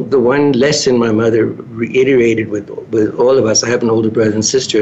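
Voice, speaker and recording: A middle-aged man from South Africa with a South African accent, answering interview questions in a measured, reflective way with some hesitations. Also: a little mellow. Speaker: guest, business coach. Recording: dull lo-fi sound with faint rumble.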